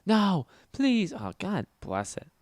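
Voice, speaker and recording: A man hosting a casual gaming livestream, talking fast and loud with a nasal voice and rambling as he answers chat. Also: high voice, huge pitch swings, dry recording, clean studio sound. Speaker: livestream host.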